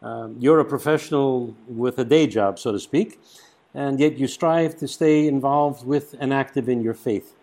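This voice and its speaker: Middle-aged priest hosting a radio chat, easygoing, normal pitch. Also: male voice, normal speed, darkish tone. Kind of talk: radio interview.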